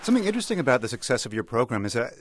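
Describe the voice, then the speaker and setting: A man's voice: medium pitch, very animated, racing, heavy background noise. An American man speaking as a radio interviewer. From United States. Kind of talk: interview questions and scripted introduction.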